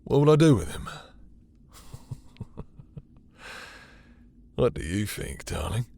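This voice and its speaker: A man playing a possessive, dominant vampire lord in intimate roleplay audio, with a husky, breathy voice and a teasing, seductive, condescending manner. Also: fairly low pitch, very animated, drawn-out words, warm tone, slight background noise, heavily acted. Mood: possessive, menacing. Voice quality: husky, breathy, dropping into whispers.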